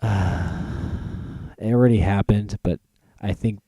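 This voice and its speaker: Male podcast host, low and relaxed, closely recorded and dry, casual countdown style with halting, repetitive phrasing. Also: breathy edge, no echo.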